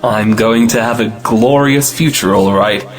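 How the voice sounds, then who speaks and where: A woman acting a cocky, amorous young gentleman suitor in a stage comedy audio play. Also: extremely low pitch, a little slow, soft background music. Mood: eager, grateful.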